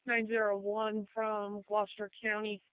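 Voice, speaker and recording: A woman's voice: mid-range voice, completely flat delivery, a little slow, smooth, dry recording. A woman working as a county fire dispatcher, relaying and repeating details in a clipped, procedural way over radio. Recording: tinny narrowband emergency radio.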